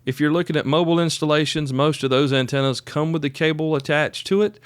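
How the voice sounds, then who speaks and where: A man's voice: a little high, fast, clear, resonant tone, dry recording. A man hosting a podcast, giving casual instructional talk in a folksy, plainspoken, conversational way. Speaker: podcast host.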